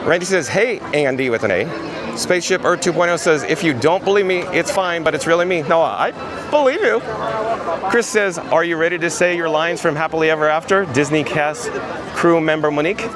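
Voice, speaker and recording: Hoarse man live-streaming in a crowd. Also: a little high, brisk. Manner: upbeat, greets viewers by name, reads chat aloud. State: hoarse, raspy voice. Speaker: livestream host. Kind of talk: live vlog reading out chat. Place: outdoors at a busy theme park street at dusk. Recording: crowd and street noise behind. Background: crowd chatter and outdoor noise.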